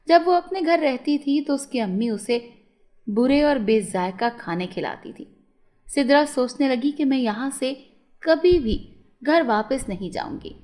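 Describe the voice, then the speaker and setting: Woman reading a kids' moral story, scripted narration, voice on the high side. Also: a little mellow.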